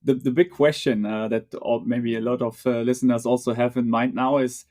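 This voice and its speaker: Halting podcast host, many fillers. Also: male voice, mid-range voice, little pitch movement, darkish tone. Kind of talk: casual interview chat.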